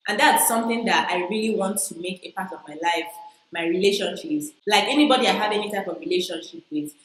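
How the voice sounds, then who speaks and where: A woman with a strong Igbo-accented Nigerian English voice chats casually to her camera, playful and repeating lines for emphasis. Her voice sits on the lower side. The room around her is echoey. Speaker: vlogger.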